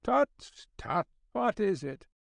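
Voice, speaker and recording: Man voicing a high-pitched, kindly old Scottish editor. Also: big pitch swings, slow, gravelly, no room sound, exaggerated voice. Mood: doubtful, fussy. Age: older. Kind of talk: audiobook character dialogue.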